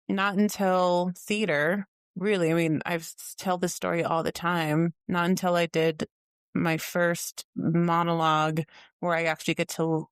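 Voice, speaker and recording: A woman's voice: on the low side, clean tone, no room sound. A woman co-hosting a podcast, talking earnestly and thoughtfully, often with a laugh. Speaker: podcast co-host. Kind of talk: casual reflective chat.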